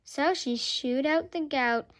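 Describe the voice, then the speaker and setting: A woman's voice: high-pitched, slow pace. A female storytime reader narrating a children's picture book aloud.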